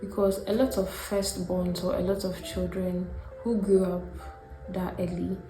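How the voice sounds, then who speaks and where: A woman with a Ghanaian accent narrating a reflective scripted video essay, speaking deliberately in short, paused phrases. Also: fairly low pitch, flat delivery, dark tone. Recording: roomy echo, music behind. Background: background music.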